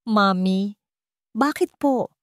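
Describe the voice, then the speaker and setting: A woman's voice: medium pitch, slow, no echo, studio quality, voice pushed up, natural voice. A woman voicing an innocent, trusting little boy in a Filipino-accented audio story. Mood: puzzled. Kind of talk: dramatic audio story acting.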